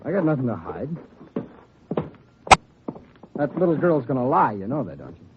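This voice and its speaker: A man's voice: mid-range voice, very animated, moderate pace, close to an everyday voice. An actor playing a glib, defensive male suspect who wisecracks and protests, in 1950s radio drama style. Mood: defensive sneering. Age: 30s. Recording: tinny, noisy old radio recording.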